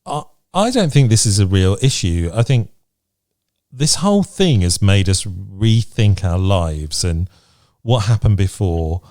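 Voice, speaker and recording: A man's voice: lowish voice, expressive, unhurried, a little mellow, no room sound, studio quality. A man hosting a podcast, chatting casually in a reflective, encouraging way. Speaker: podcast host. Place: remote video chat recording.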